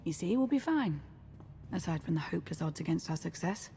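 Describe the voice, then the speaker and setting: A woman's voice: lowish voice, expressive, brisk, gravelly, over loud music. A woman playing an easygoing adventurer in a game cutscene, casual, dry and teasing. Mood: breezy. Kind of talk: video game cutscene acting.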